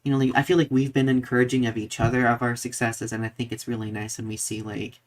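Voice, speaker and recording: A man's voice: medium pitch, little pitch movement, fast. A man co-hosting a podcast in casual conversation, speaking expressively and emphatically with a filler-laden, searching delivery. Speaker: podcast co-host.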